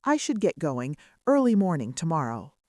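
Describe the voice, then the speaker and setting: A woman's voice: low-pitched, big pitch swings, normal speed, a little mellow, no echo, clean studio sound. A woman voicing a guarded, weary young woman journalist in an audiobook, polite and subdued.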